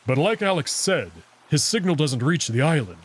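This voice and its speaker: A man's voice: a little high, big pitch swings, moderate pace, breathy edge. Man voicing a casual, grumbling young wolf prince. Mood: explaining. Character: male wolf prince, competitive, caring, easily flustered.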